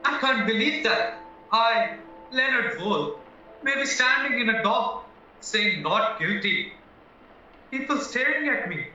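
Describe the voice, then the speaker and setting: An actor as a naive young man accused of murder in an audio drama. His voice is high and sharply bright, full of nervous worry, in a very echoey room. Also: lively intonation, measured pace, pauses often, slight background noise, exaggerated voice. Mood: disbelieving. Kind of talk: stage-style radio play acting. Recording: echoey, distant, muffled room recording.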